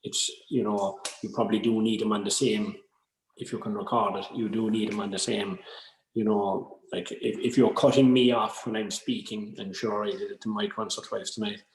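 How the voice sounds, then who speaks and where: A man's voice: lowish voice, level tone, moderate pace. An Irish man explaining his suggestions in casual chat, on roomy, echoing call audio. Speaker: workshop speaker offering suggestions.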